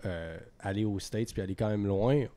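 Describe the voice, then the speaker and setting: A man's voice: lowish voice, normal speed. Casual Québécois male musician, rambling and easygoing. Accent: Québécois French. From Quebec. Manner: relaxed, rambling, many fillers. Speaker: band member. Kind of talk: casual interview chat.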